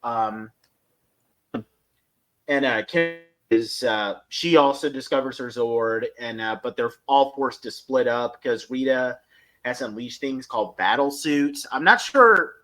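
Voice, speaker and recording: A man co-hosting a podcast, recapping and reviewing episodes casually in a halting, piecemeal way. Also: mid-range voice, pushed and bright. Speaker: podcast co-host.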